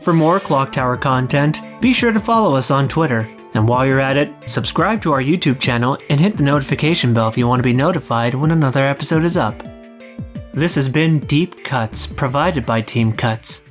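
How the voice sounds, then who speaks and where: A man's voice: medium pitch, animated, a little fast. Male podcast interviewer, mid-range voice, scripted hosting read, light music bed.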